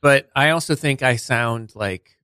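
A man visiting a studio podcast as a guest, talking in a soothing, unhurried way with long pauses. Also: mid-range voice, sharp tone, smooth, no room sound. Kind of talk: casual podcast chat. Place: radio studio.

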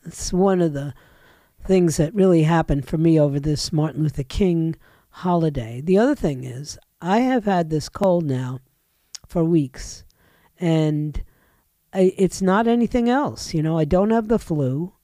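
A woman's voice: low-pitched, animated, unhurried, warm tone, dry recording. A woman talk radio host with a head and chest cold, chatting in a rambling way that keeps interrupting itself, at a studio microphone. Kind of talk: casual talk radio monologue.

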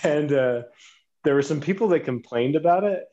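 Animated man with muffled lo-fi sound. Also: fairly high pitch, a crowd in the background. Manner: animated storyteller, halting restarts. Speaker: podcast guest, knife designer. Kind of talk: casual chat.

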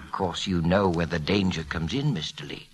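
An actor playing a deferential, knowing shopkeeper in a classic radio drama, confidential and gravely moralizing. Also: male voice, low-pitched, moderate pace. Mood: ominous, moralizing.

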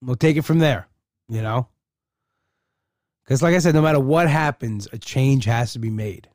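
A man's voice: medium pitch, moderate pace, a little mellow, no echo, clean studio sound. A middle-aged man talking casually and candidly in a rambling personal monologue, often restarting his sentences.

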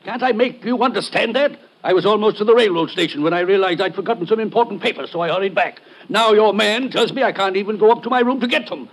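Indignant, pompous British gent for radio drama; high-pitched, rough-edged, sputtering. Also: male voice, quick pace, few pauses, rough recording. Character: blustering, indignant British businessman in a hurry. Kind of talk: old-time radio detective drama acting.

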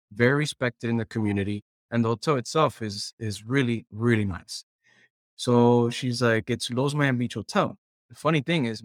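A man's voice: mid-range voice, dry recording, studio quality. Conversational male chef telling his story. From Puerto Rico. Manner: conversational, quotes himself and others while recounting. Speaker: guest, chef and culinary director. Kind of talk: casual interview storytelling.

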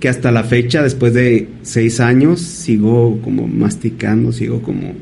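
A man, a painter as guest, telling stories in a thoughtful, halting way. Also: mid-range voice, fairly even intonation, gravelly, darkish tone, a little hiss or hum. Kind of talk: casual interview storytelling.

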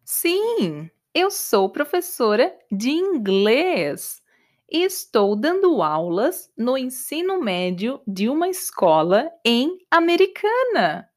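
A woman's voice: high-pitched, big pitch swings, heavily acted. A woman playing a patient Irish English teacher. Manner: slow, repetitive, carefully clear answers.

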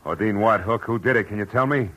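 An actor playing a terse, wry private detective in the clipped, deadpan style of 1940s radio drama. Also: male voice, fairly low pitch, fast talker. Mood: urgent. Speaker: narrator and lead. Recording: noisy old radio broadcast recording.

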